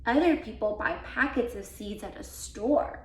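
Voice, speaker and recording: A woman reading a children's picture book aloud as a volunteer, with deliberate, expressive emphasis for young listeners. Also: fairly high pitch. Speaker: volunteer read-aloud reader. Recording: roomy, echoey sound.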